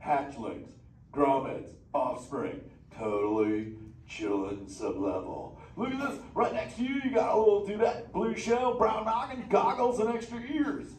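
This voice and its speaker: An exuberant surfer dude turtle, pitched high and swooping all over. He banters goofily in drawling slang at a live theme park show. The sound is echoey and picked up from a distance. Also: lively intonation, measured pace, a little mellow. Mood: friendly, playful. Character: laid-back surfer dude sea turtle, exuberant adult male.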